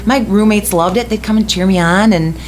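A middle-aged woman from West Michigan talks in a loose, chatty way, stopping to laugh and restarting her sentences as she tells the story. Her pitch sits in the middle of the usual range. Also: fairly quick, slight background noise. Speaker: radio host telling a story. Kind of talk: casual chat.

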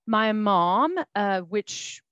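A woman's voice: medium pitch, extremely slow, fairly bright tone, dry recording, muffled recording. A middle-aged woman from Texas with a Texan accent, telling her life story as a podcast guest in a chatty, folksy way with many fillers and restarts. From Texas, USA. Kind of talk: casual interview storytelling.

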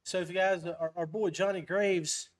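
Casual man hosting, with a high voice. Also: fairly even intonation, normal speed. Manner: informal, calls guest buddy and brother. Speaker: podcast host. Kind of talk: casual live-stream chat.

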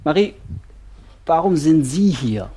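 A man playing a blunt, methodical couples therapist in an audio drama, asking terse questions in a gravelly, husky voice. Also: fairly high pitch, big pitch swings, takes it slowly, mellow. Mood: measured. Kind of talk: naturalistic improvised-sounding audio drama dialogue.

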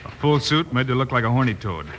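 An actor playing a calm, courteous, commanding cowboy lawman hero in an old-time radio western. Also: mid-range voice, quick pace, lightly acted. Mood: intent. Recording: very noisy vintage radio recording.

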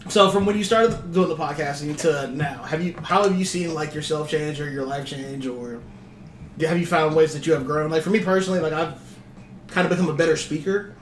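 A young man guesting on a podcast, asking questions in a relaxed, slangy way. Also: fairly high pitch, normal speed, slight background noise. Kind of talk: casual podcast chat. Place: small room, group podcast recording. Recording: echoey room.

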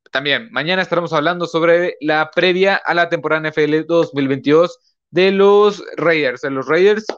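A young man hosts his podcast in a slightly high voice. He rambles casually, piling up fillers and correcting himself mid-sentence. The sound is dull and lo-fi. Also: a bit bright. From northern Mexico. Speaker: podcast host. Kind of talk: casual live-stream talk.

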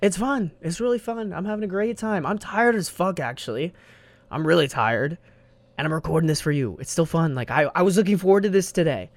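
A man's voice: a little high, lively intonation, fairly quick, no echo. A young man hosting his own podcast alone, rambling casually in slang with plenty of swearing, on a desk mic. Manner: rambling, slangy and profane, says bro a lot. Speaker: podcast host. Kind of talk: casual solo podcast monologue. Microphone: studio mic on a desk.

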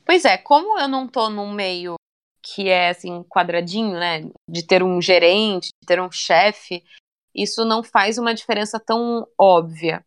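A young woman chatting casually in an interview, relaxed and talkative, often ending phrases with little tag questions. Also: medium pitch, a little slow, clear, resonant tone. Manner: chatty, relaxed, many fillers like "né" and "sabe".

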